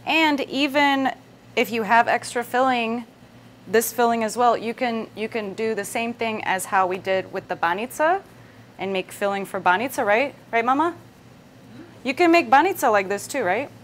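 A woman's voice: fairly high pitch, moderate pace. A woman in her thirties hosting a casual live cooking demonstration, talking conversationally through each step. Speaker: cooking show host. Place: indoor kitchen set with wooden worktop. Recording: noisy room sound with kitchen ambience.

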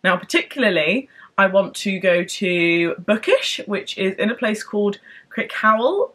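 A young woman chatting to camera in a casual book vlog, bubbly and excitable, rambling from one thought to the next. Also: mid-range voice, measured pace, slightly rough. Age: young adult. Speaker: book vlogger. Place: home room with bookshelves, later parked car.

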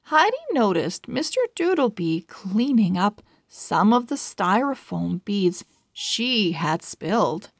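A woman reading a children's storybook aloud in an expressive, deliberate way. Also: medium pitch, dry recording, studio quality. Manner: expressive, deliberate word-by-word delivery. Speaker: storybook reader. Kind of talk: children's read-aloud narration.

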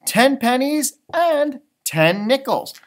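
Guy putting on a caring mom voice for a kids storybook, pitched up high. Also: big pitch swings, slow pace, heavily acted. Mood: helpful. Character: helpful, caring storybook mother.

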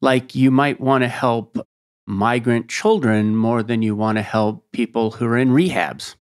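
A man's voice: mid-range voice, fairly even intonation, unhurried. A man hosting a podcast, asking probing questions in a conversational interview. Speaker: podcast host.

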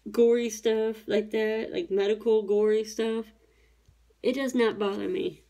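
A woman's voice: mid-range voice, warm tone. A woman in her thirties from Tennessee, chatting casually and rambling as she works on a craft at home. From Tennessee, USA. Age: 30s. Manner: rambling, chatty, self-correcting. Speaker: diamond painting YouTuber. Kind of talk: casual chat while crafting. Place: home craft table, indoors.

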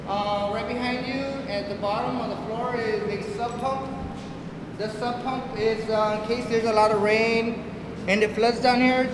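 Man, fifties, casual tour guide, explanatory, high-pitched, clear background noise, handheld microphone. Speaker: school plant supervisor giving a tour. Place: school stairwell and basement electrical room. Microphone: handheld interview microphone. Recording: echoey concrete basement, noisy handheld mic.

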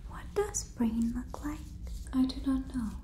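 A young woman whispering an ASMR roleplay as an awkward, earnest alien examiner, with stilted, deadpan, deliberate phrasing. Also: high-pitched, echoey room, a little hiss or hum. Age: young adult. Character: awkward, inhuman female alien examiner, earnest and oddly polite. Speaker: ASMR performer.

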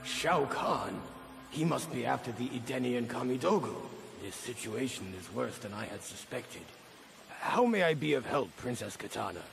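A man's voice: medium pitch, animated, moderate pace, creaky. A man playing an earnest elderly warrior champion in a video game, speaking formally and courteously. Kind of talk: video game cutscene acting. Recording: loud game music behind.

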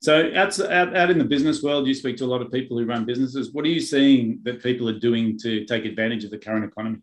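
A man's voice: mid-range voice, fast talker. A man in his fifties hosting a business interview over a video call, asking questions with brief, encouraging responses. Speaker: host. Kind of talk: interview host. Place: home office with bookshelves. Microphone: webcam on video call.

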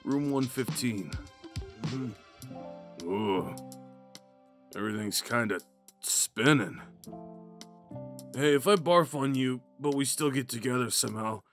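Man acting a slow-talking drunk himbo jock. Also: medium pitch, a bit bright, faint music behind. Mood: flustered, hopeful, then woozy, grumbling. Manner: drunken, halting, goofy, rambling. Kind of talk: ASMR roleplay acting, speaking to the listener.

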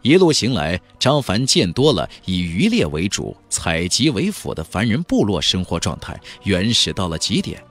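A man's voice: low voice, big pitch swings, moderate pace, hardly any pauses, a bit bright. A man narrating a fantasy novel as an audiobook, with a theatrical, emphatic storytelling delivery. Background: background music.

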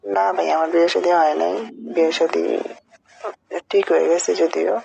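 A young woman with a Bangladeshi accent, hoarse from a cold, chatting casually and questioningly on a phone call. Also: fairly low pitch, flat delivery, normal speed, mellow, no echo. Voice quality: hoarse, broken voice. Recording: noisy phone call recording.

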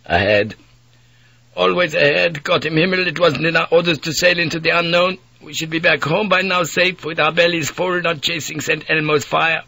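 A man's voice: on the high side, moderate pace, vocal fry, sharp tone, no echo, voice pushed up, full character voice. A man voicing a sick, embittered young sailor with a strained, complaining tone. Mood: resentful.

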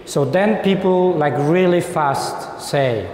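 A man's voice: on the high side, slow pace, a little mellow. A man, giving a conversational lecture and acting out quoted diplomats. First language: Polish. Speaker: lecturer on human rights. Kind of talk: informal lecture. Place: lecture hall with seated audience. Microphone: lapel mic. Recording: echoey hall.

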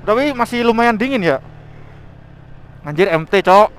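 A man chatting casually and excitably in slangy style as he vlogs while riding outdoors. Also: high voice, normal speed, clean tone. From Indonesia. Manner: excitable, exclamatory, interjection-filled. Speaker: motovlogger. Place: outdoors, riding a motorbike on a forest mountain road.

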